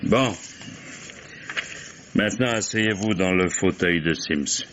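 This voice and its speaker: An actor playing a shrewd, mock-courteous, methodical German police commissioner interrogating suspects in a vintage French radio mystery. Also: male voice, low voice, slow pace, fairly bright tone, a little hiss or hum. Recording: dull old radio-play recording.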